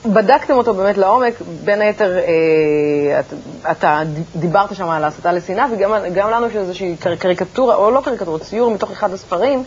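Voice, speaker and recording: An Israeli woman hosts a conversational TV interview. Her voice sits at a middling pitch, on a dull broadcast recording with a faint layer of noise. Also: few pauses, darkish tone. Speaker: television interviewer, host. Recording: muffled, noisy TV broadcast recording.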